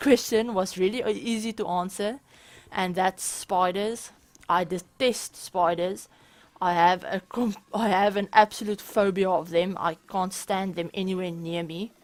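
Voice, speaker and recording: A young woman with a South African accent, chatting through viewer questions in a casual gaming vlog, with frequent restarts and small laughs. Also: on the low side. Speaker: gaming YouTuber. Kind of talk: casual Q&A over a speed build.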